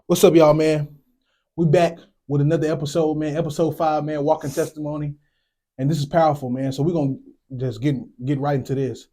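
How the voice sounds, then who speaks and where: A man's voice: on the high side, flat delivery, fairly quick, mellow. A man hosting a casual testimony show, laid-back and sprinkling his talk with "man". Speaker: podcast host. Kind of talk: casual show intro and interviewing.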